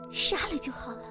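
A woman playing a clingy, menacing young junior assassin with girlish, possessive sweetness in a Chinese period audio drama. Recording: music behind the voice.

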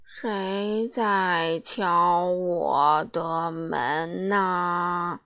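Storybook role: a lazy, grumbling slowpoke man with a low, drawn-out drawl, as a woman puts him on. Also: steady tone, fairly bright tone, exaggerated voice. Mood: sluggish. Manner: very slow and drawn out. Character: sluggish sleepy grumbling man.

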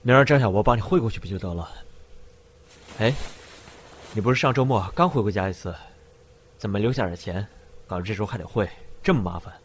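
A man's voice: on the low side, fairly quick, pauses often, lightly acted. A man playing a brooding, suspicious drug-smuggler boss in an amateur audio drama, speaking with restrained weight on a hissy home recording. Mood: teasing, casual.

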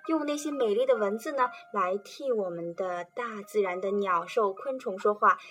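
A woman presenting and reading children's poetry in a calm, gentle storytelling manner. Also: medium pitch, normal speed, music in the background. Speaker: reader and presenter.

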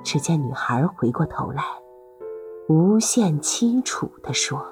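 A woman's voice: low-pitched, big pitch swings, slow, a little mellow, faint music behind. A woman narrating a serialized fantasy audio drama as its host, in a dramatic scripted storytelling style.

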